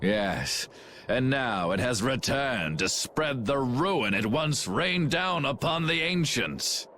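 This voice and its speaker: An actor playing a grandiose, theatrical video game villain, bitter and doom-obsessed, declaiming grandly. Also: male voice, mid-range voice, animated, slow, fairly bright tone, a little hiss or hum. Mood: grim, ominous. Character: grandiose male villain, mad with ruin and bitter irony. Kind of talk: dramatic video game voice acting.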